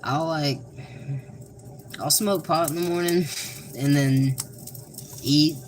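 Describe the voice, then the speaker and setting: A young man with a Southern US accent casually talking through a cooking vlog in a flat, monotone, deadpan way, rambling and joking. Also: on the high side, slow pace. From American South. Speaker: cooking vlogger. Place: home kitchen. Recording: noisy home recording.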